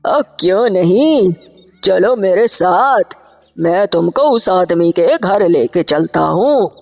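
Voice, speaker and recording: A woman's voice: fairly low pitch, animated, slow pace, creaky, darkish tone, heavily acted. A woman voicing a greedy, sly male shopkeeper who bargains and schemes in a cartoon story, recorded with reverb and music. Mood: cagey, greedy.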